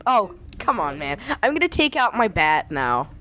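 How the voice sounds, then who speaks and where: A woman's voice: fairly low pitch, big pitch swings, normal speed, sharp tone. A woman playing a tabletop role-playing game, bantering casually in and out of character. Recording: faint background noise, group game audio.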